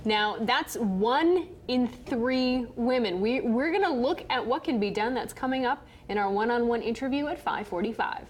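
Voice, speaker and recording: A woman's voice: on the high side, expressive, normal speed, few pauses, slight background noise. An American woman working as a news anchor, moving between scripted reading and chatty banter.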